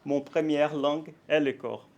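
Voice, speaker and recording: A Brazilian man speaking French with a Portuguese accent, giving a conference talk. His voice sits a little high for a man and he speaks slowly, pausing often and correcting his own words. He sounds careful and a bit nervous. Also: steady tone. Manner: halting, careful French with frequent fillers and self-corrections; nervous, warm, laughs lightly.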